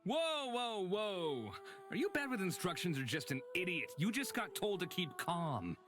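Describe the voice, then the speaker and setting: A man's voice: high voice, big pitch swings, unhurried. A man playing a cool, sardonic and guarded character with a wry, needling manner in a visual novel game. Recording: music behind the voice.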